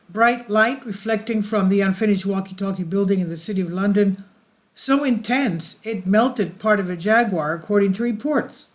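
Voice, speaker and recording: Host reading a news story aloud. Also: mid-range voice. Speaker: video channel host.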